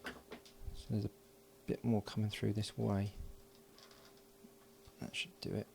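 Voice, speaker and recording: A man talking himself through a hands-on electronics project, halting and thinking aloud with frequent restarts. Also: on the low side, level tone, gravelly, a little mellow, a little hiss or hum. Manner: halting, muttering, many restarts and fillers. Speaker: electronics hobbyist.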